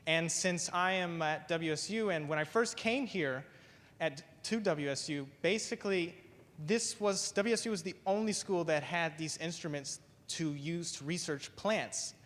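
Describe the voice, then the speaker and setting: Man speaking slowly in an echoing hall. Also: on the high side, a bit bright. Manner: measured, repeats "basically". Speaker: graduate researcher speaker. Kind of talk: prepared talk, then onstage answer. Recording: long hall reverb.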